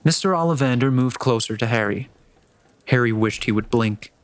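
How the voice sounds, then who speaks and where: A man's voice: medium pitch, moderate pace, no echo. A man reading scripted story narration as the narrator of a dramatic reading.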